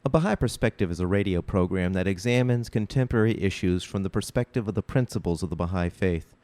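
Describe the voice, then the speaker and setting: A man hosting a community radio program, announcing and introducing in a measured, scripted way. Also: deep voice. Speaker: radio host.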